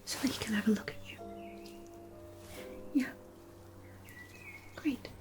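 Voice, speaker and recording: A woman playing a gentle, caring fairy healer in a fantasy ASMR roleplay, whispering softly and soothingly. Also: soft background music, natural voice. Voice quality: soft, breathy whisper. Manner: soothing, unhurried, attentive.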